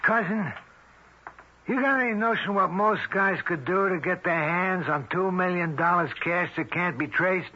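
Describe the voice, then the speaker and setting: An actor playing a cocky, scheming crook in a radio drama, with rough, slangy, persuasive talk. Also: male voice, high-pitched, moderate pace, bright, forceful tone, full character voice. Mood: cynical, persuasive. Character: scheming tough crook, cocky, desperate for a fortune. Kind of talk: 1970s radio drama acting. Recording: dull vintage radio recording.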